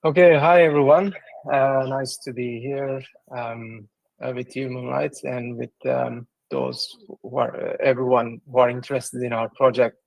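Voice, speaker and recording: A man's voice: mid-range voice, level tone, measured pace, gravelly, darkish tone, no echo, low-quality recording. A man from Turkey, a project's regional business development director, speaking as a guest in a casual livestream interview and explaining with many ums and uhs.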